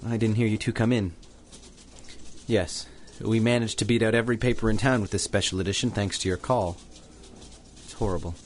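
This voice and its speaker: A man's voice: fairly low pitch, fairly even intonation, fairly quick, pauses often, a little hiss or hum, lightly acted. A man playing a mild, earnest, hesitant newspaper reporter who stammers, in a 1930s-style radio serial. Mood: remorseful.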